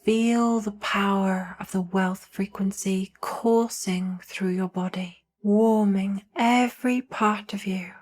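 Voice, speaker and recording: Slow-paced woman guiding a meditation. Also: mid-range voice, fairly even intonation. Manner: soothing, hushed, with long pauses between short phrases. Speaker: guided meditation narrator. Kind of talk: slow guided meditation narration.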